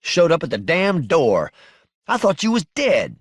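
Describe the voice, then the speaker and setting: A man's voice: a little high, lively intonation, moderate pace, no echo, clean studio sound, voice pushed up, natural voice. A man voicing an anxious, fretful young wife in an audiobook, with plaintive, folksy rural speech. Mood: upset, worried. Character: anxious, wounded-hearted young Southern wife, pleading and fretful.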